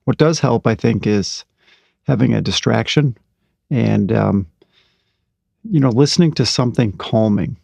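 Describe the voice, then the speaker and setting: A middle-aged man with a low, gravelly voice hosts a calm, soothing podcast. He talks slowly and gently, with easy fillers, reading his own writing aloud. The sound is close and dry, with no room around it. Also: no echo. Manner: calm, slow and unhurried, with fillers. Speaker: podcast host.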